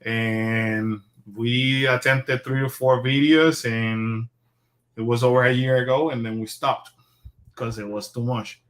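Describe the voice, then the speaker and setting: A man's voice: mid-range voice, measured pace. Chatty man from Puerto Rico. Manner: relaxed, chatty, many fillers. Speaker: host. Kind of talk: casual livestream chat.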